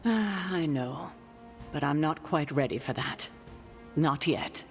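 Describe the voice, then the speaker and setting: Troubled, formal, weary heroine. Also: female voice, deep voice, lively intonation, takes it slowly, heavily acted. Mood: weary, resigned. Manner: formal, self-reproaching, weary. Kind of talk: video game cutscene acting. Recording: music behind the voice.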